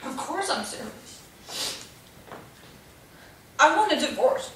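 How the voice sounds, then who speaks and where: A woman's voice: high-pitched, lively intonation, takes it slowly, very echoey, distant mic, a little hiss or hum, full character voice, rough recording. A woman playing a dramatic, impulsive young wife. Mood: cool. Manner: dramatic, swinging between shouting and tearful. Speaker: wife in a quarrel.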